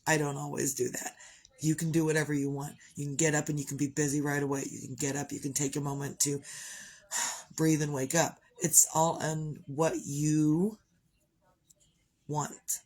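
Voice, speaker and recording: A woman with a congested voice who keeps clearing her throat, talking on a live video in a chatty, upbeat, rambling way about gratitude and her morning. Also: deep voice, flat delivery, moderate pace, darkish tone. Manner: chatty, upbeat, wanders off topic. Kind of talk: rambling motivational chat.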